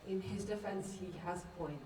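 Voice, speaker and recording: A woman's voice: medium pitch, moderate pace, mellow, lightly acted. A young woman in a student stage play, acting a frank, earnest teenage girl who bluntly reasons with others. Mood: blunt, reasoning. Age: young adult. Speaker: student actor in a one-act play. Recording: echoey, distant mic, clear noise.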